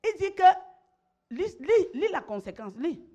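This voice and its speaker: A woman's voice: very high-pitched, sing-song, a little slow, warm tone. A middle-aged woman pastor, preaching emphatically, repeating phrases and calling on her listeners to respond. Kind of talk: sermon.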